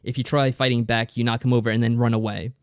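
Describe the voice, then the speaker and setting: A man talks through a review in a casual, explanatory way, as a podcast co-host would. His voice is on the lower side for a man, and the recording is dry and close. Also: flat delivery, fast talker, clean tone, no echo.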